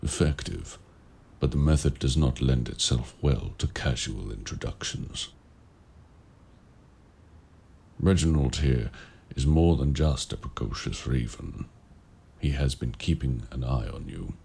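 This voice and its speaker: Man acting a very deep, gravelly forest warlock. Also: animated, dark tone, exaggerated voice. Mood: courteous, composed. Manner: formal, archaic, measured, eloquent. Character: mysterious, courteous forest-dwelling male warlock. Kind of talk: audio roleplay acting, addressing the listener.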